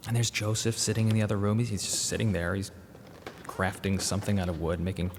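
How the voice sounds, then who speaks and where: A man's voice: fairly low pitch, flat delivery, close to an everyday voice. An actor playing a grieving, restless young father, earnest, awkward and reflective in a radio drama. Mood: wistful, awkward. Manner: halting, reflective. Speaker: narrator and protagonist. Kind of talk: radio drama narration and dialogue. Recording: reverberant hall, faint background noise.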